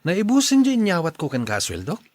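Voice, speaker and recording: A man's voice: sits high, wildly swooping pitch, fairly quick, dry recording. An actor playing a proud, stern husband and father in a radio drama, with an Ilocano accent. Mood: brisk, grumbling. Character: proud, stern husband and father, short-tempered about money.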